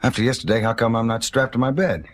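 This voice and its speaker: An actor playing a bitter, defiant injured astronaut colonel in an audio drama, speaking gruffly. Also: male voice, mid-range voice, fast talker, lightly acted.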